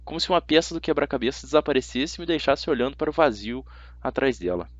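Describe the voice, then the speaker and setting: A man with a Brazilian accent narrating a first-person story as an amateur audiobook reader, dramatic and expressive. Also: medium pitch, fast talker, no echo. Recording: slightly dull, dry audio.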